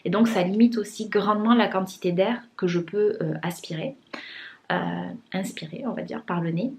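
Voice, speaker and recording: A woman's voice: mid-range voice, moderate pace. A young woman talking casually to her vlog viewers, with many fillers and restarts and a blocked, congested nose. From France. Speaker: vlogger. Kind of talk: casual vlog chat to camera.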